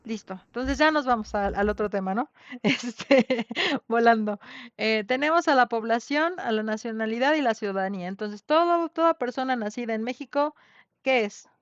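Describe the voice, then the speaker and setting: A woman's voice: a little high, normal speed, no room sound. A woman with a Mexican accent teaching an online class in an informal, conversational way, often checking in with tag questions. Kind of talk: informal lecture with questions to students. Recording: dull lo-fi online class audio.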